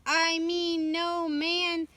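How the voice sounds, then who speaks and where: A woman voicing an incredulous, flustered male ship's master with a halting manner in a storybook. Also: very high-pitched, monotone, bright, forceful tone, no echo, voice pushed up, exaggerated voice. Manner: halting, disbelieving. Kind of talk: children's storybook read-aloud.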